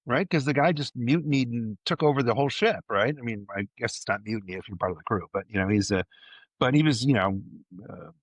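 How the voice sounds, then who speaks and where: A man speaks as a podcast panelist in a loose, rambling and enthusiastic way. His pitch sits in the middle range. The sound is dry and free of echo. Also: quick pace. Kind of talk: casual chat.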